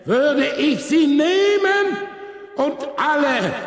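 Ein Schauspieler spielt in einem Hörspiel einen feurigen Vater, der mit Nachdruck vor einer Versammlung spricht. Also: male voice, extremely high pitch, animated, extremely slow, exaggerated voice. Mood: rousing, emphatic. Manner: declamatory, emphatic. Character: Martin's fiery father addressing a mass meeting. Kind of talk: oratory in a radio play. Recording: echoing large hall, long reverb.

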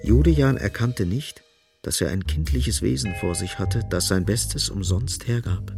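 Guy reading literary narration for a radio drama, gravelly lowish voice, music playing behind him. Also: a little slow.

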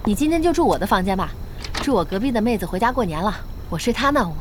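An actress playing a bossy, warm-hearted, chatty young woman who runs an internet cafe in a radio drama, brisk and expressive. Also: mid-range voice, slight background noise, close to an everyday voice. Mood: hospitable.

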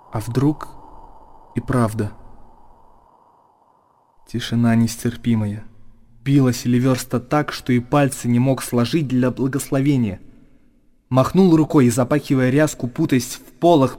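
Radio drama narrator, male actor: solemn, measured, mellow mid-range voice with very even intonation. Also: medium pitch, a little hiss or hum, lightly acted. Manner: measured, short solemn phrases. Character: solemn storyteller of a monastery tale.

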